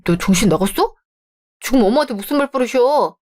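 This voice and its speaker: A woman's voice: on the high side, animated, fast, a little mellow, natural voice. A woman voicing a young man caught between his mother and his fiancée in a radio drama, placating and wheedling. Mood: scolding.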